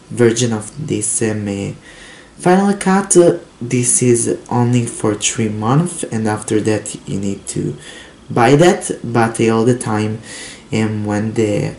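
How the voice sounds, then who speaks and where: A teenage boy from Israel, a native Hebrew speaker, talking in halting English with a Hebrew accent and many fillers while showing his laptop. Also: mid-range voice, creaky, darkish tone. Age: teens. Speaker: tech vlogger. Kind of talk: casual unboxing and review vlog. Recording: roomy echo, faint hiss.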